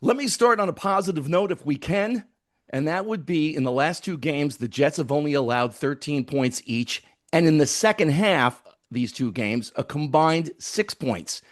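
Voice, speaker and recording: A man's voice: fairly high pitch, lively intonation, normal speed. A man hosting a sports radio segment, setting up questions for a guest. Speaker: sports radio show host, interviewer.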